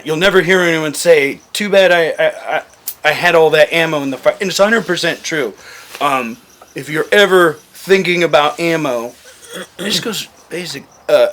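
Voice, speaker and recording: A man's voice: fairly high pitch, a bit bright. A man telling stories casually to a group in a blunt, joking, heavily profane way. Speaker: firearms gear talk guest and former overseas contractor. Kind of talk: casual storytelling to a small group.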